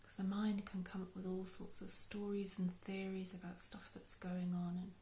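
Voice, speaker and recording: A woman leading a guided meditation in a soft, unhurried, soothing way, with phrases trailing off into breath. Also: mid-range voice, completely flat delivery, slight background noise. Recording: roomy, echoey sound.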